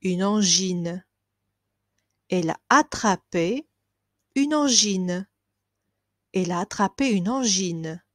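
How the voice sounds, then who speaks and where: A woman teaching a language lesson. She repeats each phrase slowly and clearly, then explains it quickly. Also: medium pitch, lively intonation, fairly bright tone, no echo, studio quality. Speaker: French teacher.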